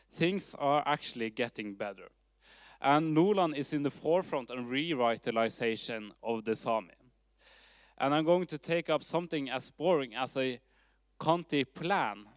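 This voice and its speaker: Man, Norwegian accent, public presentation, medium pitch, smooth resonant voice, dry close sound. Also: dry recording. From Norway. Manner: measured, with frequent fillers and restarts. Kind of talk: presentation talk. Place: town hall forum.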